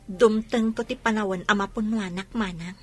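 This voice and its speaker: A woman playing a hurt, long-suffering wealthy wife in an Ilocano audio drama, with a husky voice. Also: medium pitch, fairly even intonation, moderate pace, fairly bright tone, soft background music, lightly acted. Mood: restrained, resolute.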